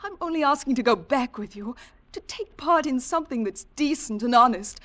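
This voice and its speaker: Impulsive young sweetheart, high bright voice, earnest, very animated, old radio melodrama. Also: female voice, fairly quick. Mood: pleading. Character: devoted, impulsive young woman in love. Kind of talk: 1940s radio acting. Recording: 1940s radio drama broadcast.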